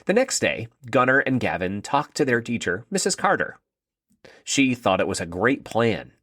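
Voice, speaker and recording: A man narrating a scripted story for children in an expressive read-aloud style. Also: medium pitch, normal speed, dry recording, studio quality.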